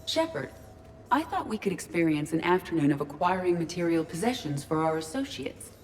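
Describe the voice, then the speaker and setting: Game role, curious literal female AI. Lowish voice, precise formal phrasing, dry delivery, noisy ambience. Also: very animated, brisk. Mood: measured, curious. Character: female ship artificial intelligence in android body, curious, literal, earnest. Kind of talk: video game dialogue acting.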